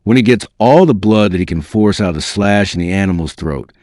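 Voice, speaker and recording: Low-voiced man narrating with lively, swooping pitch. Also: fairly quick, no echo, clean studio sound. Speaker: narrator reading a book excerpt. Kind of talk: dramatic scripted audiobook narration.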